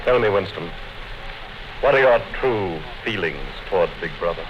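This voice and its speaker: An actor playing a calm, coldly menacing male interrogator in a 1950s radio drama. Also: deep voice, moderate pace. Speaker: O'Brien. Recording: dull noisy 1950s radio broadcast.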